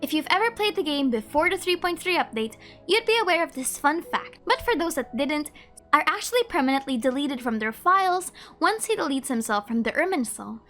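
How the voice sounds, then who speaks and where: Female video host, high-pitched and animated, playful scripted narration with chatty asides. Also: fairly quick, soft background music. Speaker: host of a game trivia video.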